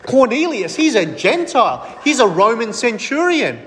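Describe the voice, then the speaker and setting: High-voiced preacher in an echoey hall. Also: male voice, sing-song, moderate pace, a bit bright. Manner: emphatic, asks the congregation questions and answers them himself. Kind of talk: sermon.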